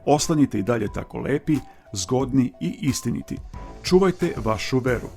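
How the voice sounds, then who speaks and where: A man narrating his channel's video in an earnest, measured, formal voice-over. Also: mid-range voice, lively intonation, darkish tone. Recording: faint music underneath.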